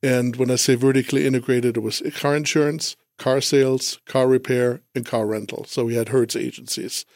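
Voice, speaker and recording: A man's voice: mid-range voice, flat delivery, normal speed, hardly any pauses, darkish tone, no echo, clean studio sound. A man from Germany with a German accent, talking casually as a business guest in an interview.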